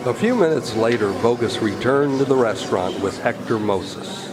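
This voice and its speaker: A man's voice: medium pitch, expressive, moderate pace. A man narrating an old-time radio Western from a script. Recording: echoey hall with music.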